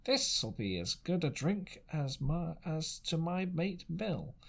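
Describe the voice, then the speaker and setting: A man voicing a menacing, fawning and sneering seafaring stranger in an adventure storybook, with drawling, wheedling sailor talk and sly pauses. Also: a little high, sing-song, creaky, voice pushed up, heavily acted. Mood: sly, leering. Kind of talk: audiobook character voice.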